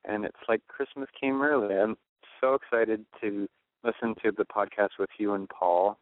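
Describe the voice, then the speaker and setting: A man's voice: fairly low pitch, fairly even intonation, moderate pace. Tinny phone voicemail from a man. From Hawaii. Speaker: listener leaving a voicemail. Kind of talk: casual voicemail message. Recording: tinny, dry phone line.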